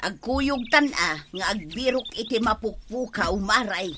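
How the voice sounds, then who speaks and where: A woman's voice: medium pitch, lively intonation, measured pace, sharp tone, a little hiss or hum. A woman playing a weary, complaining working wife in an Ilocano village comedy, with an Ilocano accent. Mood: tired, grumbling. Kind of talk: Ilocano comedy drama acting.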